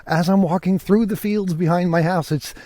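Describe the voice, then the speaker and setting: A man hosts a solo podcast in a slightly high, mellow voice. His talk is casual, conversational and keen, recorded very dry in the open air on a portable rig. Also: fairly even intonation, moderate pace, no room sound. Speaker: podcast host. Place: outdoors, walking through countryside fields.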